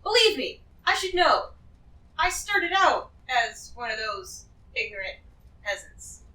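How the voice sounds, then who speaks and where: A woman's voice: high-pitched, sing-song, slow, bright, forceful tone, exaggerated voice. A woman playing a greedy, shrewd treasure-hoarder in a fantasy role-play, rambling and emphatic as she justifies herself. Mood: reasoning, persuasive. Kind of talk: improvised tabletop fantasy role-play acting. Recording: echoey room, distant from the mic.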